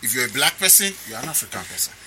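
A man's voice: mid-range voice, sing-song, racing, sharp tone, a little hiss or hum. A man from Nigeria with a Nigerian accent, a comedian talking emphatically as an interview guest, often repeating himself. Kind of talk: casual interview chat.

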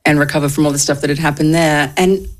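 A woman in her thirties, a guest answering interview questions in a measured way, with wry asides and light laughs. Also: sits low, steady tone. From Australia. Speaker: guest, app founder.

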